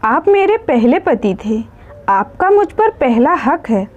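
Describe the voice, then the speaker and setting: A woman voicing a shy, devoted young wife in a story, soft-spoken, deferential and pleading. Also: high voice, sing-song, slow pace, lo-fi sound, full character voice.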